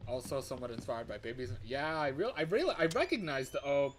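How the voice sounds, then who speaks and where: A man doing casual live gameplay commentary as a streamer, excitable and reactive, often muttering to himself as he plays. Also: mid-range voice, a little fast, noisy background. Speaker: livestreamer. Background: music.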